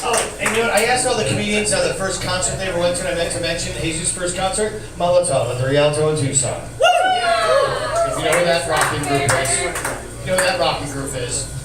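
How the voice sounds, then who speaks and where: Male comedy host, high voice, wildly swooping pitch, hyped, echoey room, loud noise. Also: huge pitch swings, brisk. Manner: hyped, rambling introductions. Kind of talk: comedy show hosting. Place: large comedy club. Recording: echoey club audio from far off, loud noise.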